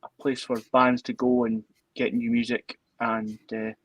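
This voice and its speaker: A Scottish man with a slightly rough, husky voice of average pitch, heard in a dry recording. He chats informally as a co-host, feeling his way through explanations. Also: level tone, a little slow, dry recording, rough recording. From Dundee, Scotland. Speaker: co-host and tune composer. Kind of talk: casual live chat.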